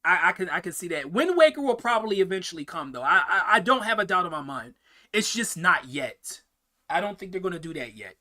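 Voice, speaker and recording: A man's voice: high-pitched, sing-song, a little fast, bright, forceful tone. A young man hosting a casual live-stream Q&A, answering viewer questions in a rambling way, often repeating words and restarting sentences.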